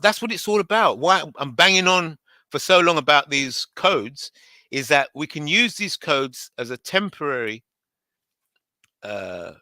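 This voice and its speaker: A man with a hoarse, worn voice speaks slowly, pitched a little high. His tone is bright and forward, and the sound is dry. He rambles and restarts often. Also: no room sound. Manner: rambling, repeats himself, many restarts. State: hoarse, voice going. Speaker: livestream host. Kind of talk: casual live chat.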